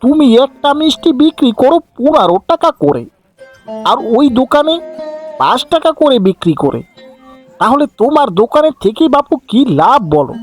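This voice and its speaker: A thrifty older uncle from a village cartoon, full of grumbles , voiced by a woman. The pitch swings freely as he haggles, with quiet music underneath. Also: very animated, moderate pace. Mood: grumbling. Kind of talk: cartoon fairy tale acting.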